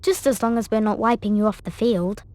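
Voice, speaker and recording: A woman's voice: medium pitch, steady tone, brisk, a little mellow, no room sound, close to an everyday voice. An actress playing a bookish, bossy young schoolgirl witch in a video game, earnest and precise. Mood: concerned. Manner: earnest, precise, know-it-all. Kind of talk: video game cutscene acting.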